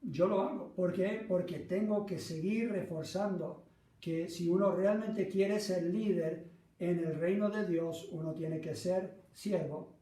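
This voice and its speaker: Man, middle-aged, Latin American Spanish accent, mellow voice, earnest self-deprecating sermon, echoey room. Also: high-pitched, fairly even intonation, unhurried. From Argentina, raised by North American parents. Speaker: pastor. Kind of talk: sermon with personal anecdote. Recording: roomy echo, distant microphone.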